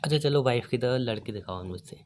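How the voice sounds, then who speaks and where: A man's voice: mid-range voice, fast talker, a little mellow, a little hiss or hum. A young man chatting casually on a recorded phone call, teasing and questioning his partner. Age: young adult. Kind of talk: casual couple's chat.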